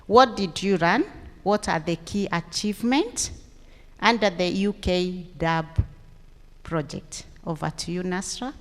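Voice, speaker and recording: A woman with a Kenyan accent moderating a panel in deliberate, short phrases. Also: lowish voice. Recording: echoey hall with long reverb.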